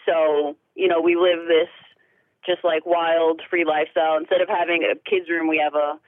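A woman's voice: deep voice, level tone, moderate pace, dry recording. A woman in her forties with a girlish-sounding voice, calling in as a guest and chatting openly in a casual interview. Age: 40s. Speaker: caller and guest. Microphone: phone. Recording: phone line.

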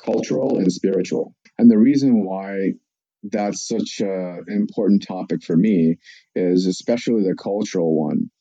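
A man from Russia, a psychologist giving measured, explanatory answers on a podcast. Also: low voice, mellow, dry recording, lo-fi sound. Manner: measured, explanatory, lists points.

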